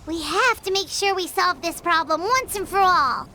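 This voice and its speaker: Casting: an excitable tiny companion sprite, squeaky, third-person talker. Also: female voice, normal speed, a little hiss or hum, exaggerated voice. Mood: nervous. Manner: refers to herself in third person, exclamatory. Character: small floating female companion, chatty and excitable.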